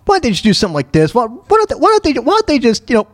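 A man hosting a talk show, delivering an opinionated solo rant in a halting, repetitive, emphatic way. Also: very high voice, huge pitch swings. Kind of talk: opinionated solo rant reacting to news clips.

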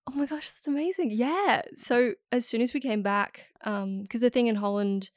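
A woman's voice: a little high, normal speed, no room sound. Young woman guest, early 20s, chatty with lots of ums and giggles, dry close mic. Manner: friendly, giggly, many fillers. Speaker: musician guest. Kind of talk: casual interview chat.

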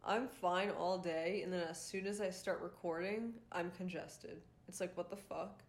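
A young woman with a congested, nasal voice hosts her own podcast, venting in a loose, frustrated monologue packed with fillers and swearing. She comes from Rhode Island, and her voice sits a bit low for a woman. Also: steady tone, moderate pace. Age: young adult. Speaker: podcast host. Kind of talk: casual ranting monologue.

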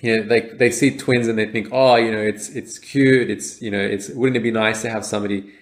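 Reflective young man, low voice. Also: steady tone, a little fast. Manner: reflective, many fillers and restarts. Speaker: podcast co-host. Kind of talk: casual chat.

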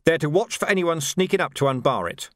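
A man's voice: a little high, lively intonation, fast talker, a bit bright, no room sound, voice pushed up. A man voicing a world-weary, authoritative watch sergeant in an audiobook, speaking tersely and with dry wit. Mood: firm, commanding. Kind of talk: audiobook character voice.

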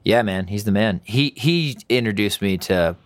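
A man hosting a podcast in a casual chat, animated, swearing casually and losing track of names. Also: moderate pace, no echo. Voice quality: fairly high-pitched for his height. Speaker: podcast host.

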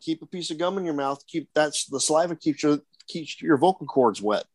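A man's voice: fairly high pitch, lively intonation, a little fast, husky, dry recording. A man who acts at haunted attractions, talking casually on a panel and rambling with many fillers and restarts.

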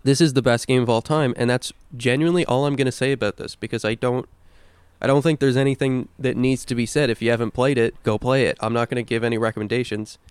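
A man's voice: medium pitch, steady tone, quick pace, clean tone, no echo. A man co-hosting a podcast, chatting casually and emphatically about video games in informal, sweary language. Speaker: podcast co-host.

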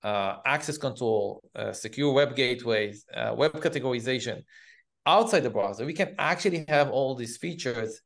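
A man from Israel with an Israeli accent, explaining things as an interview guest in halting, hesitant phrases. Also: mid-range voice, creaky. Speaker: guest, cybersecurity company CEO.

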